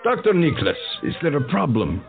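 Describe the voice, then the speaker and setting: A man voicing a stern senior doctor speaking formally and briskly, on a phone-like recording with music behind. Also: fairly high pitch, wildly swooping pitch, gravelly, full character voice.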